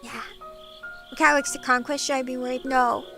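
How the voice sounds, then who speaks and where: Bubbly woman streamer from eastern Washington, high and bright, with light music underneath, chatting with her viewers. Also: fairly even intonation, normal speed, gravelly. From eastern Washington, USA. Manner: bubbly and giggly, gasps and laughs often. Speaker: VTuber streamer. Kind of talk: casual chat with viewers, reading comments.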